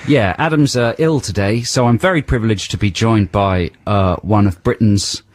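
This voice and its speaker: A man's voice: fairly low pitch, normal speed, dry recording. A man hosting a radio show, chatting in playful, mock-serious banter. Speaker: radio co-host. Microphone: studio microphone.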